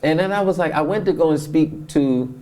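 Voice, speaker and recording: A man's voice: fairly high pitch, brisk. A man, a comedian guest, telling stories with lots of laughter and bits of sung recitation. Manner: lively, laughs often, breaks into sung recitation. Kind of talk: animated storytelling chat.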